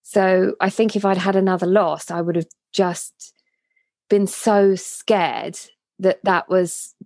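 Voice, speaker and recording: A woman in her mid-thirties talks things through slowly and thoughtfully, often restarting her sentences as she goes. Her voice sits at an ordinary middle pitch. The recording is dry and close, with no room sound. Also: steady tone, darkish tone, no room sound. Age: 30s. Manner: reflective, many restarts and trailing phrases. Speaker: podcast co-host. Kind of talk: casual heartfelt conversation.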